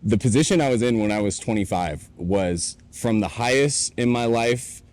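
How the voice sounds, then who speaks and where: A man's voice: mid-range voice, animated, normal speed, darkish tone. A man in his late twenties, co-hosting a podcast in a warehouse, telling his own story in an earnest, reflective way. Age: 20s. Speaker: podcast co-host. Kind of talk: casual chat.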